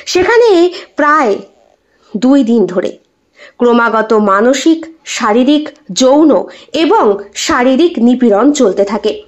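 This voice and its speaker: A woman's voice: high voice, lo-fi sound. A woman reading a scripted suspense story in a dramatic storytelling style. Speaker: audio story narrator.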